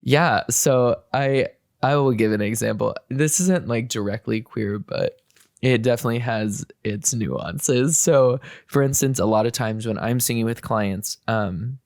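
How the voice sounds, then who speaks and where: A man's voice: medium pitch, a little slow, gravelly, no echo, studio quality. A man hosting a podcast, chatting casually and hedging his points with fillers and restarts. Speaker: podcast host. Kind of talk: casual interview chat.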